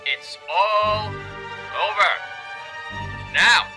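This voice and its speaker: A man playing a commanding police officer in a comic drama, calling out demands in a deliberate, authoritative way. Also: sits high, music in the background. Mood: stern. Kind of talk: dramatized comic adaptation acting.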